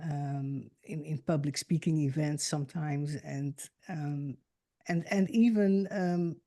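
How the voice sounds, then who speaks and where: A woman's voice: low-pitched, fairly even intonation, takes it slowly, dark tone. A woman hosting a podcast, politely introducing her guest and asking questions in a formal manner. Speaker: podcast host. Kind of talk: interview hosting.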